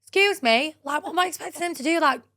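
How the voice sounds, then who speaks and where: Hoarse, excitable young woman. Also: high-pitched, quick pace. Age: 20s. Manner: loud, excitable, jokey storyteller. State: hoarse, partly lost voice. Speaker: podcast co-host. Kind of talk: casual chat.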